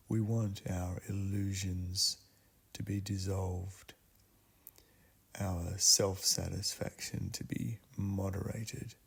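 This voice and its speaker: A man speaks in a low, husky voice with a heavy creak. He leads a guided meditation ritual solemnly and deliberately, setting out short phrases with long pauses between them.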